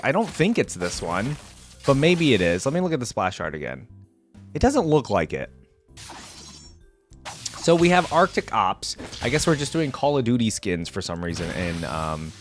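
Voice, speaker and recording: Male reaction host, casual and unscripted, lively swings in pitch, faint music behind him. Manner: informal, thinking aloud.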